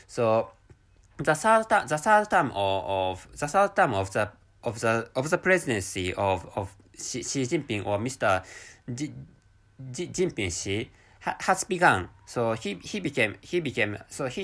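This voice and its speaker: A Japanese man speaking English with a Japanese accent as a second language, hosting a solo podcast in a halting way with many restarts and repeated words. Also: mid-range voice, a bit bright. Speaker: podcast host.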